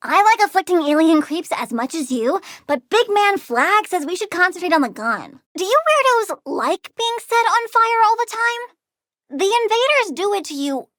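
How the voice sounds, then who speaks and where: A woman's voice: very high-pitched, sing-song, normal speed, a bit bright, dry recording, studio quality, heavily acted. A woman voicing a young girl plant villainess in a video game, childlike, blunt and pouty, with a volatile, morbidly cheerful temper. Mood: spiteful. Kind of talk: video game character voice lines.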